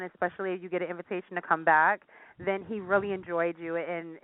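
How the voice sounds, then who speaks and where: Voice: mid-range voice, dry recording. A radio show host interviewing a guest, effusive and full of praise. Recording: tinny phone line, dry.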